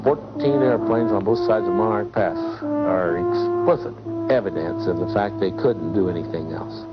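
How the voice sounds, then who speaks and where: A man's voice: on the low side, moderate pace. A man instructing pilots informally, with a blunt, emphatic way of talking. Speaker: mountain flying instructor. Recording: muffled 1970s film sound with music.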